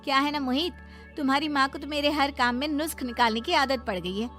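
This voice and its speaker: Woman acting a high-voiced defiant young daughter-in-law. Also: brisk, a bit bright, soft background music. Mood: defiant, annoyed. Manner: argumentative, insistent. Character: defiant young daughter-in-law, defensive, sharp-tongued wife. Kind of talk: Hindi cartoon moral-story acting.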